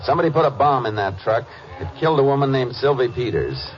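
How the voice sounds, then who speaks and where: An American actor playing a calm, methodical Texas Ranger in a 1950s radio crime drama, terse and measured. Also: male voice, medium pitch, natural voice. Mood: firm, probing. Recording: muffled old radio recording.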